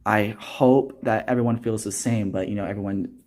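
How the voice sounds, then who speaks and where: A young man in his late teens with a fairly low voice speaks as an interview guest. He is earnest and reflective, explaining things conversationally and softening them with little hedges like "kind of" and "you know". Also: fairly even intonation, moderate pace. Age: teens, high school senior. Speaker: student actor interviewed as a guest.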